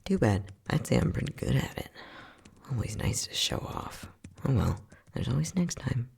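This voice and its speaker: A man acting as an unhinged, playful and casually cruel scientist in an ASMR roleplay, flippant and sardonic, with a husky voice that often drops near a whisper. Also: medium pitch, sing-song, moderate pace, darkish tone, full character voice. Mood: clinical, teasing. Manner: flippant, sardonic, mock-soothing, muttering.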